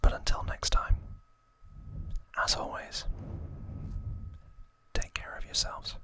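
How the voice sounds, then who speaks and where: A man hosting an ASMR video, narrating in a breathy, unvoiced whisper with a gentle, hushed delivery. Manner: slow, gentle, hushed delivery with drawn-out words. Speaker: host of an ASMR channel.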